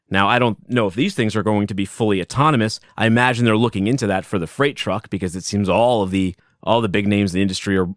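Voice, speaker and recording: A man hosting a solo podcast from his basement, talking loudly and casually, rambling and chuckling as he goes. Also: on the low side, dry recording, clean studio sound. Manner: animated, rambling, self-interrupting, chuckles often; loud voice. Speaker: podcast host. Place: home basement.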